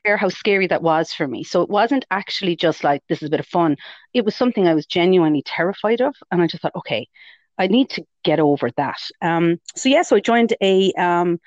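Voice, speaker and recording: Chatty woman, low voice. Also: lively intonation, brisk, no echo, highs cut off. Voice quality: lower voice for a woman. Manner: chatty storytelling with self-quotes and laughs. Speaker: guest. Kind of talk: casual interview chat.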